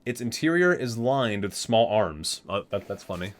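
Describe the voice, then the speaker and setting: Man reading aloud with swooping pitch. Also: very animated, normal speed, a little hiss or hum. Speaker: host of a gaming ASMR unboxing video. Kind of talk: ASMR reading aloud with casual asides.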